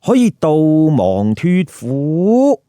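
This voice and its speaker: Male storyteller as a solemn scabby holy monk in a tale, stately and drawn-out, dry sound. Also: on the high side, huge pitch swings, dark tone, no room sound, full character voice. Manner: slow, declamatory, solemn. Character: bodhisattva disguised as a scabby monk, solemn, all-knowing.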